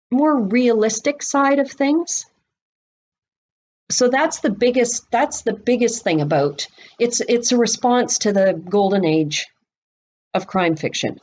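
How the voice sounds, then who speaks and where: A woman's voice: a little high, darkish tone. A woman speaking as an expert guest in a podcast interview, explaining things in an emphatic, conversational way.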